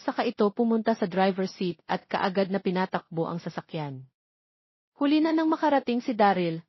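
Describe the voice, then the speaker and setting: A woman narrates a scripted drama. Her pitch sits in the middle range for a woman. The sound is very dry and somewhat dull, with little top end. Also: husky, no echo. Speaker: audio story narrator.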